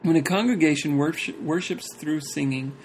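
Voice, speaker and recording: A man's voice: on the high side, normal speed, a little hiss or hum, low-quality recording. A man reading a church talk aloud and chatting casually about it, breaking into jokes and laughter between passages.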